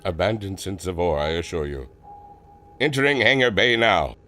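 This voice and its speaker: A man's voice: low-pitched, lively intonation, moderate pace, over music. A man playing a grave, secretive airship captain and inventor in an audio drama, cryptic and commanding. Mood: assured, secretive.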